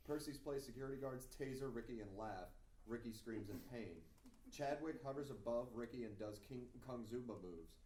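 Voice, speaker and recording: A man's voice: mid-range voice, warm tone. A man giving scripted narration as he reads stage directions at a table read. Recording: echoey room, distant mic, faint noise.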